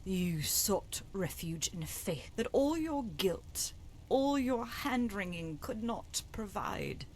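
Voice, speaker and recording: A woman voicing the knowing spirit of a dead sister in a game mod, measured and portentous, with hushed phrase endings. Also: mid-range voice, very animated, slight background noise. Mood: cold, accusing. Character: long-dead sister's spirit, solemn, knowing, finally forgiving. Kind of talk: dramatic video game mod voice acting.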